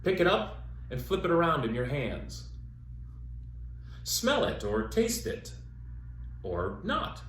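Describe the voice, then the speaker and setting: A man working as a theater educator, guiding listeners through an exercise with measured, step-by-step instructions and pauses. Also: a little high, wildly swooping pitch, a bit bright. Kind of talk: instructional demonstration, guided exercise. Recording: echoey room with faint background noise.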